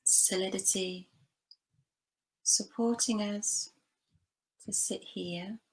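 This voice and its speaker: A woman leading a guided body scan meditation, giving gentle, unhurried instructions with long pauses between phrases. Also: medium pitch, fairly even intonation, low-quality recording. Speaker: meditation teacher.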